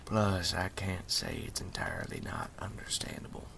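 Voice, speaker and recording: A man playing a folksy, hospitable wandering story collector in an ASMR roleplay, drawling in a breathy half-whisper. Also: sits low, wildly swooping pitch, normal speed, bright, forceful tone, a little hiss or hum, full character voice. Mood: wry, easygoing.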